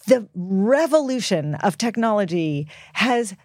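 A woman's voice: medium pitch, expressive, a little slow, a little mellow, no room sound, clean studio sound. A woman speaking as an expert podcast guest, giving frank, informal and emphatic advice to young listeners. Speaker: guest author and health expert.

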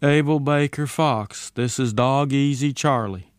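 A man voicing a weary, dutiful military radio operator who drones call signs in a chanting monotone, as in an audiobook story. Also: mid-range voice, unhurried, clean tone, dry recording, studio quality, pitched above the performer's own voice, close to an everyday voice.

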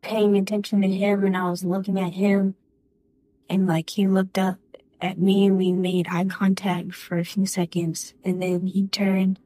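A woman giving courtroom testimony in a halting, hesitant way, with many restarts and fillers. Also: mid-range voice, level tone, dark tone, no room sound, rough recording. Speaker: witness.

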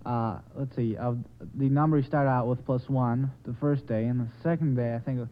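A male contestant on an old radio quiz reasons aloud in a hesitant, step-by-step way. His voice sits at a medium pitch. The recording is narrow and tinny, from a 1950s broadcast. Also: steady tone, moderate pace, slight background noise.

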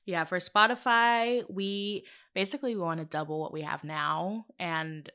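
Young woman co-host from Southern California, casual, giggly and upbeat, voice sits a touch low. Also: fairly even intonation, unhurried, sharp tone, clear, resonant tone. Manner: laughs often, chatty and upbeat. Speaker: podcast co-host. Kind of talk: casual chat.

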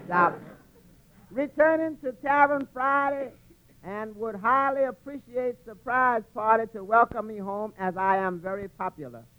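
A muffled old radio recording of a wisecracking tavern waiter with a very high-pitched voice. He is long-suffering and dry, stammering over repeated words as he lands his quips in 1940s comedy style. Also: unhurried, slight background noise. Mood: amused, chatty.

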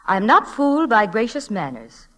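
A woman's voice: on the high side, big pitch swings, moderate pace, bright, forceful tone. A woman playing a strict but caring schoolteacher in a 1940s radio drama, speaking earnestly with formal emphasis. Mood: earnest, firm. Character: stern but caring, principled female schoolteacher.

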